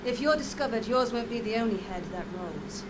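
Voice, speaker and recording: A woman's voice: a little high, a little fast, natural voice. An actress playing a sly, poised lady of a pleasure house in a game cutscene, with archaic, haughty and teasing phrasing. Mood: calm, conspiratorial. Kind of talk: video game cutscene acting. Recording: heavy steady noise behind.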